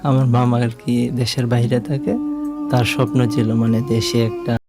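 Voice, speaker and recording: A Bangladeshi man with an average-pitched voice tells his own story as a guest. He speaks haltingly, circling back and leaning on fillers. Music runs clearly beneath his voice. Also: flat delivery. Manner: halting, repetitive, leans on filler phrases. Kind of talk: unscripted personal storytelling.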